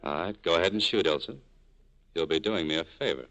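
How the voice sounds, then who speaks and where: Very deep, cynical cafe owner. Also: male voice, quick pace, a bit bright, lo-fi sound. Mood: coolly defiant. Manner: terse, sardonic. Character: bitter, cynical cafe owner, hard-shelled former lover. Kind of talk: 1940s radio drama acting. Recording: old 1940s radio broadcast.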